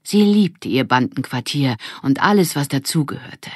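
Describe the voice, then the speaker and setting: A woman reading a children's audiobook as narrator, with expressive scripted storytelling. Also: extremely low pitch, moderate pace, husky, no room sound, clean studio sound.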